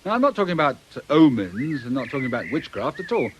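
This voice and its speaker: Actor as dry, sceptical British police inspector. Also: male voice, mid-range voice, sing-song, quick pace, highs cut off, background noise, lightly acted. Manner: dry, matter-of-fact, colloquial. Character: brisk, sceptical male police inspector, dryly persuasive. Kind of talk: radio drama acting.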